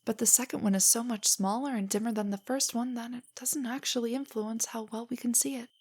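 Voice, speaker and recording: A woman acts out a sweet, nerdy young girlfriend in an intimate audio roleplay. Her voice is fairly high and breathy, often slipping toward a whisper. She rambles eagerly and a little shyly, with affection for the one listener. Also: steady tone, a little fast, darkish tone. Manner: rambling, giggly, hesitant, explaining trivia.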